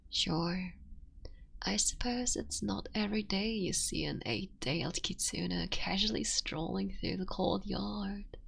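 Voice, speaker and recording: A woman playing an affectionate, playful and wistful fox witch in an intimate, softly whispered ASMR roleplay. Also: mid-range voice, full character voice. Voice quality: breathy. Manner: slow, intimate, soft-spoken with breathy whispers.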